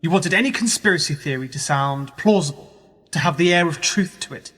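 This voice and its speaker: Man playing a husky, sceptical young journalist. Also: on the high side, brisk, a bit bright, in a large hall, faint music behind. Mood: indignant. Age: young adult. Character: young male journalist, sceptical, probing, indignant when used. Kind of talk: radio play acting.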